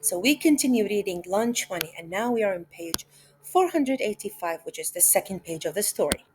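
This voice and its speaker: Slow-paced woman reading aloud to pupils. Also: mid-range voice, a little mellow, slightly rough. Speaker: teacher reading a story aloud. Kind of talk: read-aloud lesson for children.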